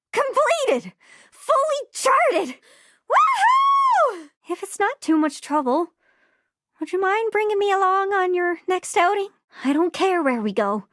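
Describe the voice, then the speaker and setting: A woman playing an excitable, sweet, quirky young-sounding living globe in a video game, chatty, goofy and gushing. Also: high-pitched, no room sound, clean studio sound, exaggerated voice. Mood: elated. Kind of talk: video game character voice acting.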